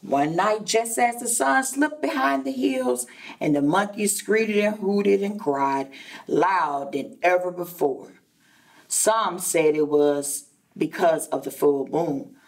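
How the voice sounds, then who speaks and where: A middle-aged woman, a preschool teacher, reading a story aloud to young children in a deliberate, explanatory way with repeated phrases and asides. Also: fairly low pitch. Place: indoor classroom. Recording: distant microphone.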